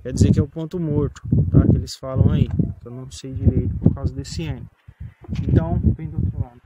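A man's voice: medium pitch, steady tone, brisk, highs cut off. A man in his late twenties with a São Paulo Brazilian accent, chatting casually and reassuringly as he explains things in a rambling way. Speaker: vlogger, learner rider. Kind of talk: casual instructional chat. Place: outdoors on a rural dirt road. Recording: outdoor phone recording, loud motorcycle engine noise.

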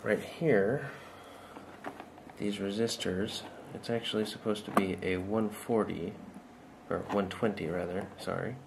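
Slow-talking man with a low voice. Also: vocal fry, background noise. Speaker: hobbyist electronics demonstrator. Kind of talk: informal project demonstration. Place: indoors at a tabletop workbench. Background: music playing from small speakers.

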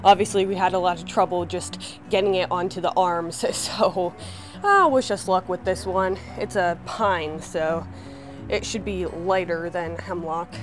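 A woman's voice: lowish voice, unhurried, music in the background. A young woman talking casually and upbeat to her viewers at an outdoor sawmill, recorded on a camera mic a few feet away. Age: young adult. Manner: chatty, upbeat, addresses viewers directly. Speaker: sawmill vlogger. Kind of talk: casual vlog talk to camera.

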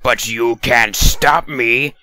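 A man's voice: medium pitch, fairly even intonation, slow, very noisy, lightly acted. A man voicing a grumpy, sarcastic middle-aged cartoon villain in a comic dub. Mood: panicked, defiant.

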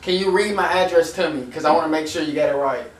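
A man's voice: fairly high pitch, fast. A young American man making a prank phone call. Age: teenager to young adult. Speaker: prank caller. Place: small room indoors on a couch. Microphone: camera a few feet away. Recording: echoey, distant camera mic, faint noise.

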